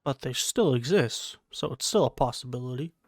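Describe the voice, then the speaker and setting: A woman's voice: very deep voice, lively intonation, normal speed, no echo. A woman giving casual playthrough commentary on a game, dropping offhand, wry asides. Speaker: gamer reacting to a story quest.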